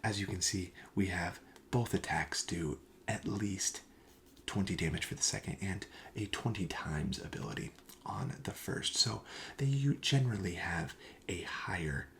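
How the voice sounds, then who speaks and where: A man playing a friendly, reassuring card shop clerk in a soft-spoken ASMR roleplay, breathy and often near a whisper, with casual, hesitant sales patter. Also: low-pitched, lively intonation, a little slow, a little hiss or hum, heavily acted. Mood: calm, explanatory.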